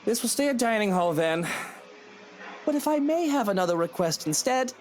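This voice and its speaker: An actor playing a bossy, teasing, wealthy estate owner in a visual novel game, with a commanding, haughty and playful manner. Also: male voice, sits high, big pitch swings, normal speed. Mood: brisk, courteous. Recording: game audio under clear background noise.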